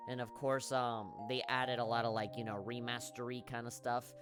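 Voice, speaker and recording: A man streaming a story game, chatting casually and rambling with lots of fillers; his voice is a little hoarse from a cough. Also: mid-range voice, flat delivery, normal speed, over music. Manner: rambling, filler-heavy, chuckles often. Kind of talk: casual live-stream commentary.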